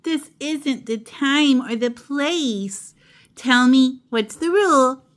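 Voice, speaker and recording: A woman voicing a patient, gently firm fish schoolteacher from a storybook, in a measured, mildly scolding way. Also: high-pitched, animated, voice pushed up.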